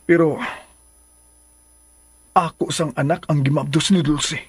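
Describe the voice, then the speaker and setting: A man's voice: fairly high pitch, fairly even intonation, measured pace, slightly rough, dry recording. A man playing a troubled, brooding lover in a radio drama. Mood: brooding, resentful. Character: troubled man torn between bride and former lover.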